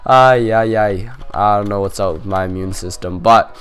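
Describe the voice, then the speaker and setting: A man's voice: fairly low pitch, a little slow, fairly bright tone, clear, resonant tone, faint music behind. A young man with a stuffy, nasal cold, chatting casually as he hosts a gaming video with lots of fillers. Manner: rambling, chatty, many fillers. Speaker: gaming channel host. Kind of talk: casual gameplay commentary.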